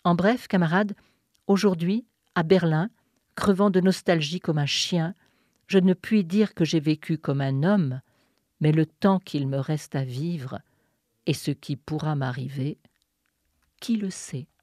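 A woman hosting a radio show, reading a poem aloud in a measured, deliberate way with pauses. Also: low-pitched, a little mellow, no echo, studio quality. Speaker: radio host. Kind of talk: scripted narration and poetry reading.

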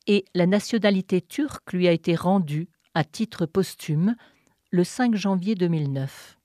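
A woman hosting a radio show, reading a poem aloud in a measured, deliberate way with pauses. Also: on the low side, mellow, no room sound, clean studio sound. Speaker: radio host. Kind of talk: scripted narration and poetry reading.

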